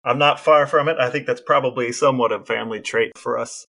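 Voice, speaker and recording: A man co-hosting a podcast, telling historical anecdotes in a casual, animated storytelling manner. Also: medium pitch, quick pace, creaky. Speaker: podcast co-host.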